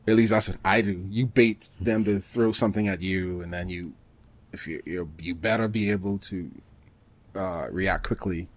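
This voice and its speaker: A man co-hosting a podcast, chatting casually about gaming in a halting way, often rephrasing himself mid-thought. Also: fairly low pitch, no echo. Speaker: podcast co-host.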